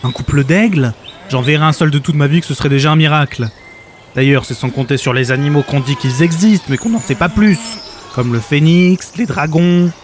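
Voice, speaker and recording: A man's voice: on the high side, fairly quick, a little hiss or hum, lightly acted. An actor playing an exasperated, sarcastic everyman who grumbles in colloquial speech in a comedic audio drama.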